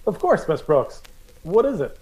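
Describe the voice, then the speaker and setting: A man's voice: fairly high pitch, very animated, moderate pace, dark tone. An actor playing a mild, polite, puzzled man in an old-time radio comedy.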